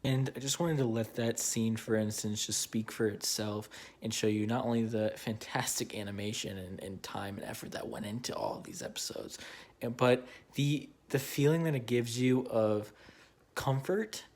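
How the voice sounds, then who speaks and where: A man's voice: mid-range voice, moderate pace, a little mellow. A young man hosting a casual video essay as a horror fan, speaking informally and earnestly with some swearing. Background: clear background music.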